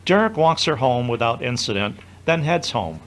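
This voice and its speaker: A man's voice: medium pitch, very animated, moderate pace. A man narrating an audio drama from a script, describing each scene as storyteller.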